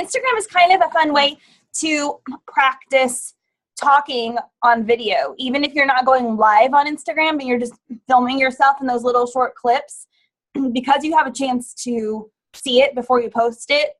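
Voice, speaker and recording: A woman giving friendly practical advice in a casual panel chat, clearing her throat now and then. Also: high voice, moderate pace, fairly bright tone.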